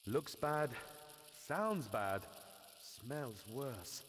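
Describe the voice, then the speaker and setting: A man's voice: medium pitch, very animated, very slow, fairly bright tone, a little hiss or hum, exaggerated voice. A man playing a whiny, wisecracking, easily flustered adventurer's companion in a video game, with theatrical, hesitant grumbling. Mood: uneasy, disgusted. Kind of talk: animated video game quest dialogue. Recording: long cavernous reverb, music behind.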